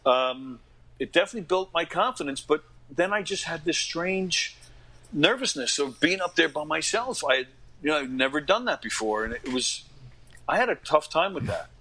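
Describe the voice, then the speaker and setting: An American man in his fifties, an interview guest, talking casually in a rambling, self-deprecating way and often clearing his throat. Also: a little high, animated, normal speed, sharp tone, poor audio. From Long Island, New York, United States. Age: 50s. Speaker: interview guest, actor and comedy performer. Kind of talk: casual conversational storytelling.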